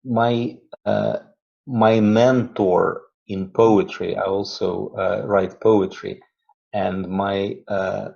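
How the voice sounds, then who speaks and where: A man from Russia with a Russian accent, answering interview questions slowly and haltingly, often stopping to search for words. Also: fairly low pitch, flat delivery, creaky, a little mellow, husky, highs cut off. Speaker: interview guest, poet and theologian.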